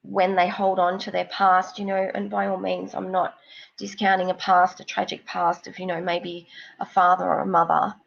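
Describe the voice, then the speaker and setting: A woman with a cold and a snuffly nose, giving psychic card readings on a live stream in a slow, rambling, reassuring way. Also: fairly low pitch, vocal fry, highs cut off.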